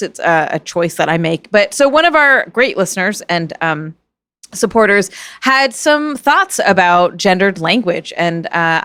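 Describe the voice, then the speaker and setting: A woman's voice: mid-range voice, fairly bright tone, clear, resonant tone, no echo. A woman hosting a podcast in casual chat, talking in a chatty way with frequent hesitant restarts. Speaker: podcast host.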